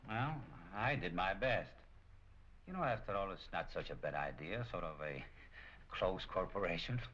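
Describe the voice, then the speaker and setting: A sardonic, middle-aged crime boss in an old gangster picture. His voice is on the low side and casual, on a muffled film soundtrack with some hiss. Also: lively intonation, moderate pace, a bit bright. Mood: sly. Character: smooth-talking, cynical crime boss, middle-aged man. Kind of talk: 1940s film acting.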